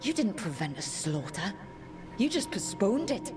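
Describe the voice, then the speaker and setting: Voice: mid-range voice, sing-song, a little slow, over music. An actor playing a resolute, wry young hero in a video game cutscene, speaking in a dry, pointed way. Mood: accusing.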